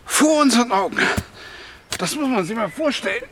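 An actor playing an excitable, fussy older pensioner in a German radio crime drama. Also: male voice, extremely high pitch, moderate pace. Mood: agitated, self-important. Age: older, retired. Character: widowed male pensioner, excitable, fussy, awkwardly smitten.

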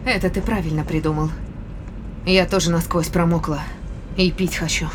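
An actress playing a brisk, curious spaceship scientist with a dry, commanding manner in a science fiction audio drama. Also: female voice, fairly low pitch, fairly even intonation, slight background noise, lightly acted. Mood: brisk, tired.

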